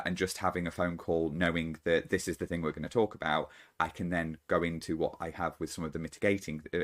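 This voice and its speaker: A man hosting his own channel, giving an unscripted solo talk to camera in a thoughtful, halting way, often restarting his sentences. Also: rumbling low. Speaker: channel host.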